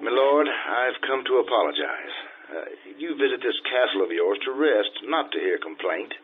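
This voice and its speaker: An actor playing an aging, boastful Casanova in a florid, theatrical old-time radio drama style, through a vintage radio filter. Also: male voice, medium pitch, sing-song, normal speed, slight background noise. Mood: wounded pride. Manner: florid, theatrical, self-admiring. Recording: tinny vintage radio sound with music.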